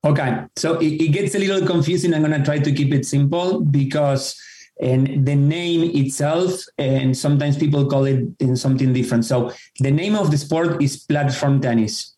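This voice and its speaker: Mellow man in a roomy echo. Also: fairly high pitch, moderate pace. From Argentina. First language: Spanish. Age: middle-aged. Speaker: podcast guest, tennis professional. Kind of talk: casual explanatory interview talk. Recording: roomy, echoing sound.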